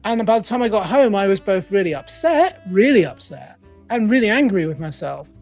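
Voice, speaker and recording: A middle-aged man from England with a British accent, a coach telling his story as a guest in a conversational interview, animated and playing out his own quoted thoughts. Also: high voice, brisk, faint music behind. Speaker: guest, accountability coach and author.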